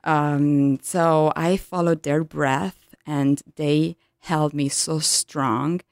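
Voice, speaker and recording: A woman in her thirties from Italy with an Italian accent, a yoga teacher telling her story in an interview, pausing often to find words in English. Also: sits low, steady tone, a little mellow, dry recording, clean studio sound. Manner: hesitant, searching for English words with many ums.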